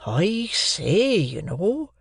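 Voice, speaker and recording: A man reading aloud and voicing a kindly, simple working man who talks in a slow, earnest, homely way. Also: high-pitched, big pitch swings, creaky, breathy edge, no echo, studio quality, voice pushed up, exaggerated voice.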